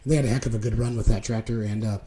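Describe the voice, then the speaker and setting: Fast-talking, slightly hoarse male host. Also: on the low side, fairly even intonation, warm tone. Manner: chatty, informal. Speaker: show host. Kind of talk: casual live talk show.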